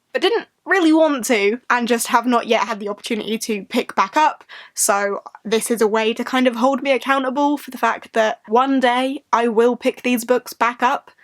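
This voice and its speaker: High-voiced woman, slightly congested. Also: a bit bright. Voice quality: slightly congested, bunged-up nose. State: getting over a cold. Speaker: book vlogger, host. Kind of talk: casual rambling sit-down chat to viewers.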